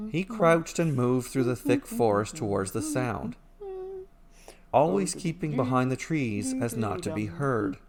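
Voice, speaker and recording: A man reading a scripted fairy tale as a storyteller, in measured storybook phrasing with short dramatic sentences. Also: medium pitch.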